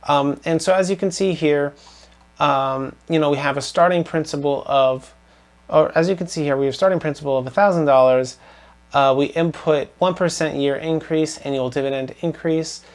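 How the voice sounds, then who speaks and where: A man's voice: fairly high pitch, moderate pace. A young man with a slightly scratchy voice giving a rambling, earnest update on his investments to camera, full of ums and restarts. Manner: rambling, filler-heavy, earnest. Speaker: finance vlogger. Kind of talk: casual portfolio update to camera.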